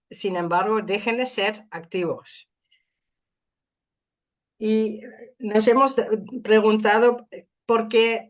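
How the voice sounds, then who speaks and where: Woman, Dutch accent, middle-aged, academic speaker, careful, halting, many fillers, medium pitch. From Netherlands. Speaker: invited speaker. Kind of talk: academic talk.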